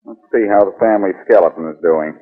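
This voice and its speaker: An actor playing a brash, glib amateur detective under suspicion, in 1940s radio drama style. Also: male voice, sits low, fast talker, breathy edge. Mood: sly, teasing. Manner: wry, glib, wisecracking. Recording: muffled old 1940s radio recording.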